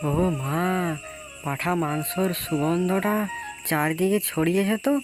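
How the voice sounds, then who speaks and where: A woman voicing a cheerful, food-loving village son in an animated story. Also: on the low side, measured pace, music in the background, lightly acted. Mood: delighted.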